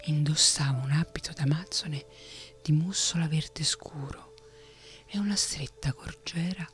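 A woman reading a fantasy story aloud in a steady whisper. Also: deep voice, unhurried, faint music behind. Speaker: narrator.

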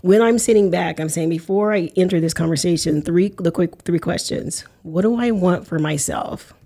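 A woman hosting a casual interview, her voice set somewhat low with a mellow, relaxed tone. She talks in loose, reflective sentences that double back and restart as she thinks aloud. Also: normal speed. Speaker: podcast host.

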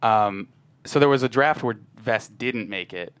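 A man being interviewed as a screenwriter, talking casually and haltingly with a modest, informal manner. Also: fairly low pitch, fairly bright tone, clean tone, no room sound. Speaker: guest screenwriter.

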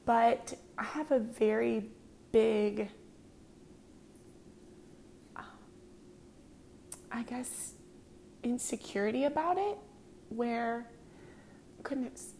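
A woman's voice: on the high side, very slow, creaky, long pauses, dark tone, a little hiss or hum. A woman in her thirties hosting her own podcast, talking in an earnest, confessional way with many ums. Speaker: podcast host. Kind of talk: casual personal talk.

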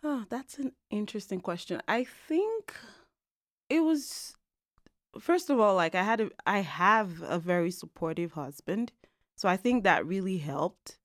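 Excitable Nigerian woman, dry close sound. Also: medium pitch, measured pace, dry recording. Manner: animated, laughs often, nervous and excited. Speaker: podcast co-host and guest. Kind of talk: casual conversational interview.

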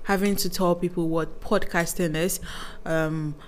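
A woman, chatting as a podcast guest and acting out what people say to her in quick asides. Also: fairly low pitch, steady tone, a little hiss or hum. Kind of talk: casual interview chat.

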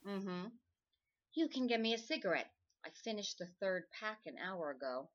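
Frazzled, wry woman writer, animated. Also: medium pitch, moderate pace, lo-fi sound. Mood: weary, casual. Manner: wry, exasperated. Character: overworked, frazzled, wry woman writer on deadline, chain-smoker. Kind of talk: old-time radio drama acting.

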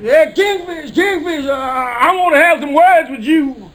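An actor playing a pompous, blustering lawyer in 1950s radio comedy, declaiming complaints in mock-legal fashion. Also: male voice, very high-pitched, a little slow, fairly bright tone. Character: Calhoun, pompous blustering male lawyer lodge member.

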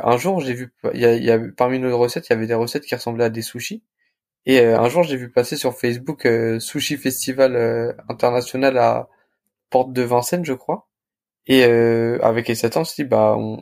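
A young adult man speaks as a guest in a casual interview. His voice sits at a medium pitch. He talks informally, with halting, hesitant phrasing as he recounts his experience. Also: a little mellow. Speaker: restaurant co-founder, interview guest.

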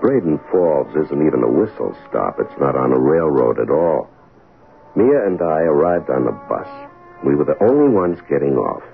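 A tinny old radio recording of an older man in a mystery drama. His low voice swings widely in pitch as he tells his story like an awkward amateur sleuth. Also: sing-song, normal speed, gravelly, soft background music, heavily acted. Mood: wry storytelling. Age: middle-aged. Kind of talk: radio mystery drama acting and first-person narration.

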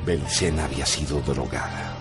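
A man giving solemn, measured scripted narration for a radio drama. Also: a bass voice, loud music behind the voice.

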